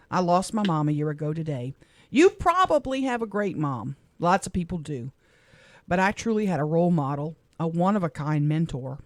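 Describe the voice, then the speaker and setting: A middle-aged woman from Louisiana, a radio co-host, speaking softly and with emotion as she reads a personal letter on air in a studio. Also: lowish voice, no room sound. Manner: heartfelt, soft-spoken, emotional.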